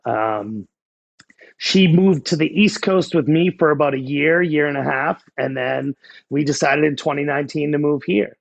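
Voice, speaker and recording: A man's voice: on the high side, moderate pace, creaky, no room sound. A man in his forties with a Boston accent, telling his story in a casual, candid, conversational way. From New England, small-town New Hampshire near the Massachusetts border. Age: 40s. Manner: candid, blunt. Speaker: guest, life coach.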